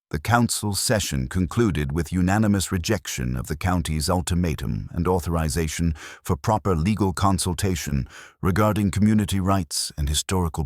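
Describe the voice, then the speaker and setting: A man narrating a scripted mystery story in a formal, measured way, like an audiobook. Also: deep voice, no room sound, studio quality.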